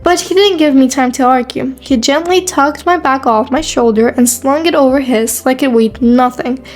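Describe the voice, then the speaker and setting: High-voiced sassy, guarded teenage girl played by a woman. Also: normal speed, faint music behind, close to an everyday voice. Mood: reflective, wary. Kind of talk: first-person story narration and dialogue.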